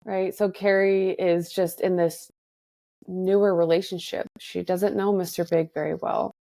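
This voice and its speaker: A woman's voice: lowish voice, little pitch movement, measured pace, vocal fry, mellow, no echo. A woman in her thirties, a therapist guest on a podcast, speaking in a thoughtful, reflective way. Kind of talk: casual podcast conversation.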